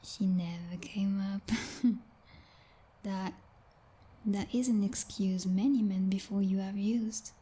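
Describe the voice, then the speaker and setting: A woman playing a possessive, jealous female vampire knight in an ASMR roleplay, whispering in a breathy, condescending and coldly menacing way. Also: mid-range voice, fairly even intonation, warm tone. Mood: suspicious, interrogating. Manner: menacing, condescending, deliberate, teasingly formal.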